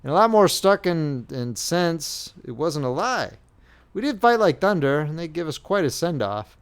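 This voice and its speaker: A man's voice: a little high, sing-song, normal speed, clean tone, pitched above the performer's own voice. A man voicing an indignant young Civil War soldier who talks in a rustic, aggrieved way. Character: indignant young male Union soldier, the friend.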